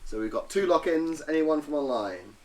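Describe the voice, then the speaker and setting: A man's voice: on the high side, lively intonation, normal speed, a little hiss or hum. A man running a tabletop game as game master, narrating playfully and humming sound effects.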